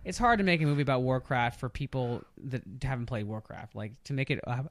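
A man's voice: mid-range voice, expressive, fast. A man co-hosting a casual chat podcast, speaking bluntly and swearing now and then. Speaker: podcast co-host. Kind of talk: casual chat about movies and games.

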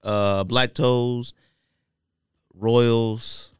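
A man's voice: medium pitch, steady tone, very slow, clear, resonant tone, no room sound, clean studio sound. A man co-hosting a podcast in casual, slangy banter. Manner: informal, slangy talk. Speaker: podcast co-host.

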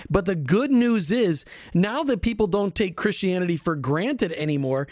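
A man's voice: high voice, lively intonation, normal speed, darkish tone, dry recording. A male radio host in a studio, chatting with enthusiasm and stumbling over his starts. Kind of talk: casual radio talk.

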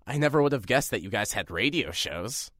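A man's voice: lowish voice, fairly quick, dry recording, studio quality. An actor playing a nervous, rambling young man filling in as a radio host in an audio drama. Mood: earnest, rambling. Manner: rambling, self-deprecating, lively.

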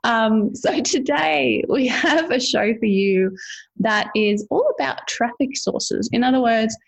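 A woman's voice: on the high side, slow, gravelly, husky, low-quality recording. A woman hosting a podcast in casual, chatty conversation, laughing often. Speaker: podcast host.

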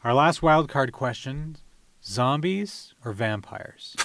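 A man's voice: mid-range voice, lively intonation, slow pace, vocal fry, background noise. A man co-hosting a podcast, asking questions and bantering casually with a guest. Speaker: podcast co-host.

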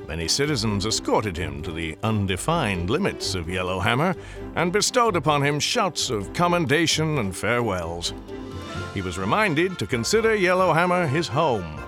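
A man's voice: medium pitch, lightly acted. Male actor, wry Old West radio narrator, courtly phrasing, hugely varied intonation, music underneath. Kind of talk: scripted storybook narration for radio drama. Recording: music behind the voice.

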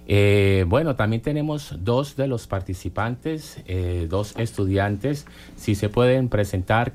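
Male interviewer, low mellow voice, rambling friendly delivery. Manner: rambling, friendly questions with many fillers. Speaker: radio host. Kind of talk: casual interview.

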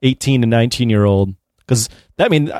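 A man's voice: mid-range voice, moderate pace, a little mellow, no room sound, clean studio sound. A man co-hosting a podcast, casually steering a discussion about films.

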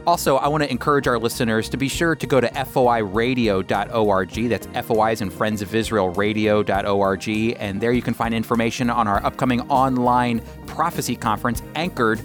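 A male host and Bible teacher talks in a relaxed, conversational radio manner. His pitch sits in the middle range. Soft music plays faintly behind him. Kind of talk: casual radio program chat.

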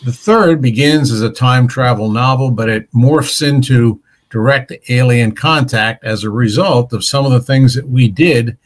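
An older man answering interview questions as a guest author, in a measured, plain-spoken, slightly hesitant way. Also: medium pitch.

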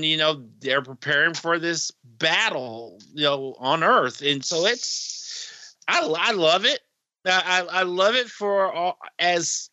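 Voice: medium pitch, pushed and bright, no room sound. A podcast co-host chatting casually about a TV episode, rambling and enthusiastic.

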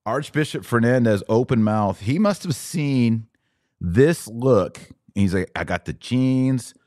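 A man's voice: mid-range voice, lively intonation, takes it slowly, darkish tone, dry recording, studio quality. A middle-aged American man hosting a podcast, giving opinionated religious commentary in an informal, slangy, mocking and incredulous way.

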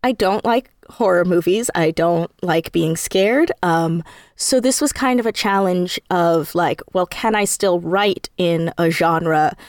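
A woman speaking casually as an interview guest, telling things in an animated, emphatic, self-deprecating way. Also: on the low side, slow, dry recording, studio quality. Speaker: interview guest, audio drama writer.

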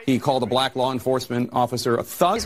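Rapid-fire male news commentator. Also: mid-range voice. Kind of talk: scripted broadcast news commentary.

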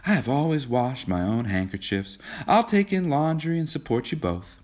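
A homely, modest young woman from a storybook, acted out by a man. The pitch is raised above a man's usual talk, and the manner is helpful and unassuming. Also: big pitch swings, normal speed, darkish tone, close to an everyday voice. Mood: quiet, willing.

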